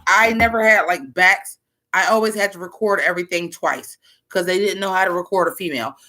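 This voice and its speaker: A woman rapper with a deep, bass-heavy voice, chatting loosely to her viewers as she reacts to her own old album. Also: fairly quick, bright, forceful tone. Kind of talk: casual reaction commentary.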